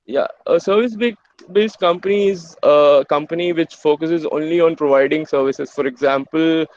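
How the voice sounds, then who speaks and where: A young man in his twenties, a native Hindi and Urdu speaker, gives a motivational webinar to students and coaxes them along in a fairly high voice. He stops and restarts often with "uh" fillers. The sound is dull and lo-fi, like computer-mic audio on an online call. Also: darkish tone. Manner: halting, with many "uh" fillers, encouraging. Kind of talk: motivational career-advice talk.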